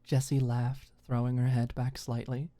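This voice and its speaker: A woman's voice: rumbling low, flat delivery, moderate pace, dark tone. A woman reading scripted story narration in an ASMR audiobook style.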